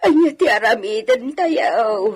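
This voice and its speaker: A woman's voice: a little high, expressive, measured pace. An actress with an Ilocano Filipino accent playing a distressed village mother, plaintive, in a radio drama. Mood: worried. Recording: loud music behind the voice.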